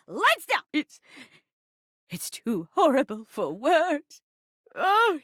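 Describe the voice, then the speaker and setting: A woman's voice: dry recording, clean studio sound, full character voice. Wildly melodramatic old lady chair. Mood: horrified, hammy. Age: older. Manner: stagey, gasping, swooping from hushed asides to high cries. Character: theatrical, melodramatic old woman who is a living chair. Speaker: datable game character. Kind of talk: video game voice acting, murder-mystery melodrama.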